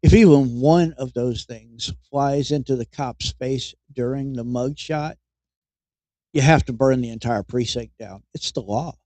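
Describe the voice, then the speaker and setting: A man hosting a podcast, giving mocking news commentary in a halting way and chuckling at his own jokes. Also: medium pitch, mellow, no room sound, low-quality recording. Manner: halting, repeats words as he goes. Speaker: podcast host.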